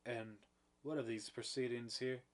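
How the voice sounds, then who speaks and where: A man's voice: mid-range voice, fairly even intonation, unhurried, close to an everyday voice. A man reading an angry guilt-torn farmer. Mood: stern. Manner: forceful, rising to shouts.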